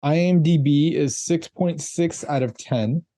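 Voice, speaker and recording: A man co-hosting a casual movie review podcast, reading out ratings and box office numbers in a relaxed way. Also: on the high side, measured pace. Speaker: podcast co-host.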